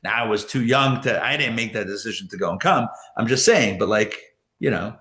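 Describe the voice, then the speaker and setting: A man's voice: fairly low pitch, expressive, a little fast, sharp tone. A man, a rabbi teaching a live Torah class to an audience, talking casually, often chuckling and restarting as he fields questions. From born in Israel, raised elsewhere.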